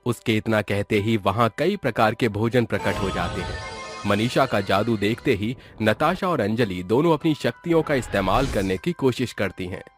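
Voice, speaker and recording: A man narrating an animated moral story in a steady, clear storytelling manner. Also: on the low side, moderate pace, soft background music.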